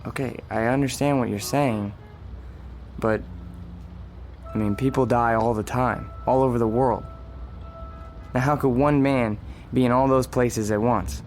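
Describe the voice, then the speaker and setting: Actor as gentle, hesitant, puzzled young man. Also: medium pitch, steady tone, a little slow, long pauses, faint music behind, lightly acted. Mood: earnest, probing. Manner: hesitant, stammering, earnest, reassuring. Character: gentle, puzzled man coaxing a frightened woman. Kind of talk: radio drama acting.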